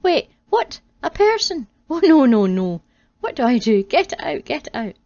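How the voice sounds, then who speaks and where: A woman voicing an impatient first wife who makes demands and is quick to exclaim, on a dull, dry recording. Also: medium pitch, expressive, darkish tone, dry recording, close to an everyday voice. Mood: panicked.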